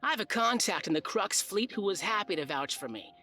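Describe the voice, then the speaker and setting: A woman's voice: mid-range voice, lively intonation, a little fast, bright, forceful tone. A woman playing a self-assured, boastful tournament challenger in a video game, with a smug, polished manner. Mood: self-satisfied. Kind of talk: video game dialogue acting.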